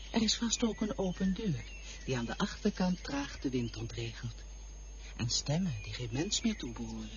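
A speaker reciting poetry in an expressive, lilting way. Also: medium pitch, lo-fi sound, a little hiss or hum. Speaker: poetry reader.

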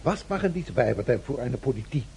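A man's voice: medium pitch, animated, a little fast, darkish tone, slight background noise, natural voice. An actor playing a scornful, domineering German party man in a radio play, hectoring and insistent.

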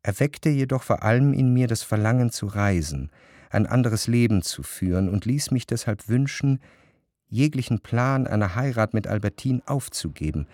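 A man narrating a literary radio drama as a reflective gentleman recalling his past, in a measured, articulate way. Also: mid-range voice, animated, no room sound, clean studio sound, natural voice. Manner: measured, articulate reading of long sentences.

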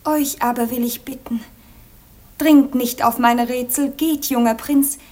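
An actress playing a proud young princess in a German radio play, speaking in a solemn, declamatory manner. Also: female voice, a little high, fairly even intonation, unhurried, dark tone, echoey room, natural voice. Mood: pleading, restrained. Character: proud, imperious young Chinese princess posing deadly riddles.

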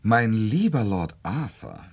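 An actor playing a suave, secretive young Russian count in a German radio play, florid and condescendingly jovial. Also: male voice, lowish voice, big pitch swings, slow pace, gravelly, breathy edge, full character voice. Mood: jovial, worldly.

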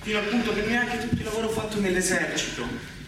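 A man's voice: high voice, quick pace, lightly acted. Man playing anguished army lieutenant, stage drama, pleading, evasive, very reverberant, noisy distant recording. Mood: pleading, desperate. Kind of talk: live stage-style Shakespeare drama acting in Italian. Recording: noisy, echoey hall, distant microphone.

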